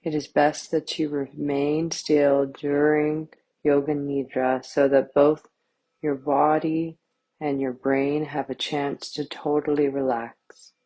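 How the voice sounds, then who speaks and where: A woman guiding a yoga nidra meditation with calm, soothing, measured scripted instructions. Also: sits low, rough recording.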